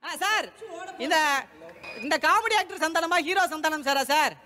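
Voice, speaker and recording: A man's voice: very high-pitched, normal speed, sharp tone. A man making a comic prank phone call, over-polite and tacking "sir" onto everything he says. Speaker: prank caller.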